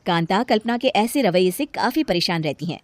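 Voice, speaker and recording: A woman narrating a scripted animated moral tale as a storyteller. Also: mid-range voice, fairly quick, dry recording.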